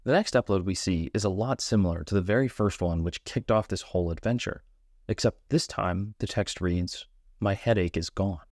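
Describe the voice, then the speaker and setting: A man's voice: low voice, moderate pace, darkish tone, no echo, studio quality. A man hosting a video essay, giving scripted narration and commentary.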